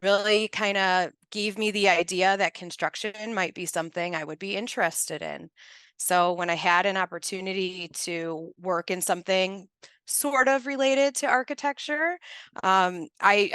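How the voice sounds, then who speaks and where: Clear-voiced woman, measured guest. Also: on the low side, fairly bright tone, no echo. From Chicago, USA. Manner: thoughtful, measured storytelling. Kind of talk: conversational interview answers.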